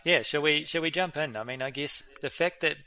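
A man's voice: on the high side, a little fast, sharp tone. A man hosting a vet podcast, chatting casually with many fillers and restarts. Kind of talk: casual interview chat.

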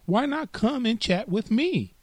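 A man's voice: very high voice, animated, unhurried, no echo, voice pushed up, exaggerated voice. A man voicing a carefree, chatty storybook grasshopper with a breezy, coaxing manner.

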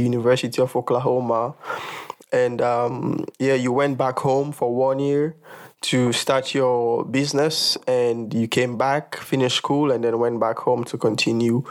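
Relaxed young male podcast host. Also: medium pitch. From Sierra Leone. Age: young adult. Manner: friendly, relaxed, filler-heavy. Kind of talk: casual podcast interview.

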